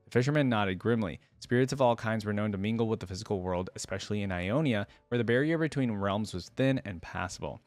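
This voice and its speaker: A man with a fairly low voice hosts a lore reaction in a casual way, reading story text and commenting on it. The sound is dry, with very little room in it. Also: quick pace, hardly any pauses, dry recording, soft background music. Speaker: reaction video host reading lore aloud.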